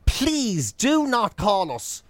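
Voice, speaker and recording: A man's voice: sits high, huge pitch swings, extremely slow, no echo. An Irish man co-hosting a radio show, telling stories in casual, colloquial comic banter. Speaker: radio show and podcast co-host. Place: close-miked dry studio.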